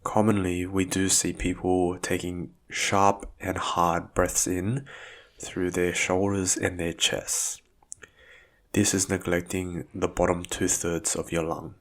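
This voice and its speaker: A man with a notably low voice narrates a guided meditation in the role of a physiotherapist. He speaks very slowly, setting out each instruction in short, measured phrases. The delivery is calm and soothing. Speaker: physiotherapist guiding a breathing exercise.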